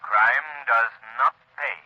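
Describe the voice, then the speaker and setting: A sinister, mocking avenger of crime from an old radio play. Every word is drawn out ominously, on a muffled vintage recording. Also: male voice, mid-range voice, slow pace, slightly rough. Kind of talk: 1930s radio acting.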